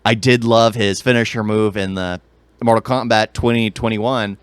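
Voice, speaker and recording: A man's voice: lowish voice, steady tone, normal speed, clean tone, dry recording. Casual man chatting on a podcast, close dry sound. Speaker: podcast co-host reviewing movies. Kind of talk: casual film chat.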